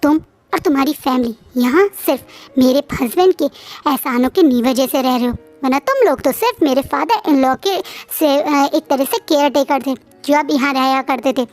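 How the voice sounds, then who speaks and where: A woman voicing a haughty, scheming wealthy woman who shouts accusations in a fit of fury. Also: high-pitched, moderate pace, faint music behind, close to an everyday voice. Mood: furious.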